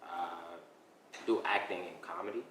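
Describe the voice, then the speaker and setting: A twenty-six-year-old man speaking in a laid-back, unhurried way. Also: sits low, fairly even intonation. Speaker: actor and comedian. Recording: echoey room, clear noise.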